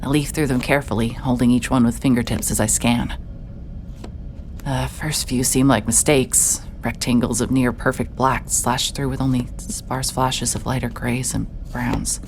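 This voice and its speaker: A woman's voice: rumbling low, steady tone, moderate pace, a little hiss or hum. An actress playing a hard-hearted, guarded female private investigator, sardonic but shaken, in a mystery horror audio drama. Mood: grim, tense.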